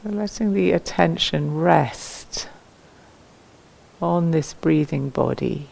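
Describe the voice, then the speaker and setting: A woman teaches meditation in a gentle, unhurried way. Her phrases come slowly with long pauses between them, and the voice often settles into a soft creak. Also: low-pitched. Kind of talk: guided meditation.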